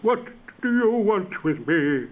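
A man voicing a miserly, scoffing old man in a storybook reading, with sharp, raised outbursts. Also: high voice, big pitch swings, slow, pitched above the performer's own voice, full character voice. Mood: alarmed. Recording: dull lo-fi recording.

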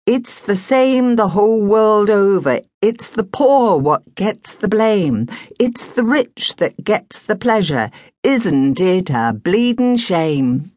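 A woman voicing a cheerful poor young London girl, bright and sing-song. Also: on the high side, wildly swooping pitch, slow pace, no room sound, voice pushed up, full character voice.

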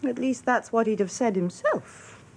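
Lively, high-voiced, blunt English office woman. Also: vocal fry, slightly rough, full character voice. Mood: candid. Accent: upper-class English. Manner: brisk, frank, drily witty. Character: blunt, jolly, slangy female office copywriter. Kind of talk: British radio drama acting.

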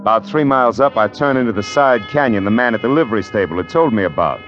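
A man's voice: lowish voice, expressive, fast, a bit bright. Dry frontier man, old radio western. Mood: measured narration. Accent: American. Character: steady, wry frontier man searching for his brother. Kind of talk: old radio western acting with first-person narration. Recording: muffled vintage radio recording with music.

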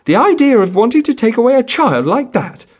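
A man's voice: very high voice, huge pitch swings, slow, slightly rough, voice pushed up, heavily acted. A man voicing a proud, blunt peasant mother who scolds fiercely and with heavy emphasis. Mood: furious.

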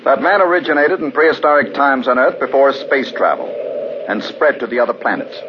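An actor playing an earnest, polite scientist in an old-time radio drama, formal and measured. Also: male voice, medium pitch, animated, natural voice. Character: earnest, polite visiting scientist, open-minded and inquisitive. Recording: dull, noisy old radio recording.

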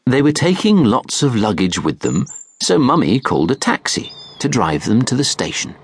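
A man's voice: medium pitch, sing-song, moderate pace, a little hiss or hum. A man narrating a children's storybook as an audiobook reader.

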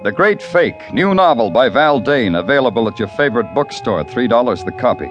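An actor playing a hard-boiled police lieutenant who narrates a 1950s radio crime drama, with a dry, wisecracking delivery. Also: male voice, mid-range voice, big pitch swings, a little fast, dull audio, faint music behind, lightly acted. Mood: wry narration. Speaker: narrator and lead.

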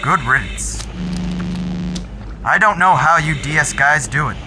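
A man's voice: on the high side, expressive, unhurried, background noise. A man playing an obliging, matter-of-fact clean-up worker in a science fiction radio drama. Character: obliging, plain-spoken male futuristic clean-up worker.

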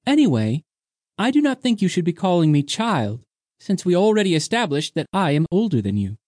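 Man voicing an ageless sea woman, soft, lilting, higher voice, dry recording. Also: big pitch swings, a little fast, dry recording. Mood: wistful. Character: mysterious ancient sea woman, young-seeming, whimsical.

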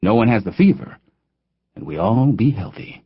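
A man's voice: on the low side, very animated, normal speed, slightly rough, no echo, muffled recording, heavily acted. A man voicing a proud, hopeful farmer father from the rural South in a storybook memoir, with folksy, plain-spoken country speech. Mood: hopeful, contented.

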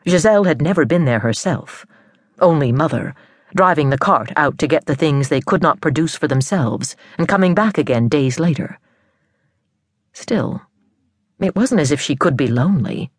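A woman narrating an audiobook of fiction in a measured, storybook manner with careful phrasing. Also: low voice, lively intonation, husky, no room sound, studio quality.

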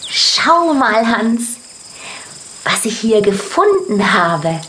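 A woman's voice: on the high side, sing-song, drawn-out words, a bit bright, a little hiss or hum, heavily acted. A woman voicing an eager, playful little girl ladybug in a children's audiobook, with a sing-song childlike delivery. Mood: eager, cheerful. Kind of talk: children's audiobook character acting.

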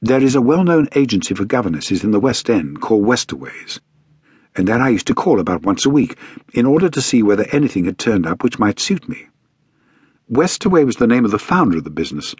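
A man's voice: mid-range voice, big pitch swings, brisk, darkish tone, breathy edge, no echo. A man voicing a polite, self-reliant young British governess in an audiobook, earnest, courteous and precise. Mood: matter-of-fact. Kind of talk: audiobook character acting.

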